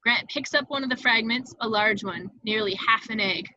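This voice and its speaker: A woman's voice: a little high, moderate pace, bright, forceful tone, no room sound, muffled recording. A woman reading scripted stage directions as narrator of a screenplay, descriptive with an occasional stumble.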